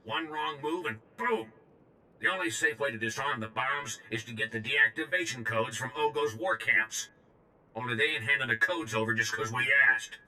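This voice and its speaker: Bright-edged brash slangy droid. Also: male voice, lowish voice, flat delivery, normal speed, a little hiss or hum, rough recording. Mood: brash, urgent. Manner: informal, slangy, blunt street talk. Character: brash reprogrammed protocol droid, folksy, slangy, male. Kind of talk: video game character dialogue.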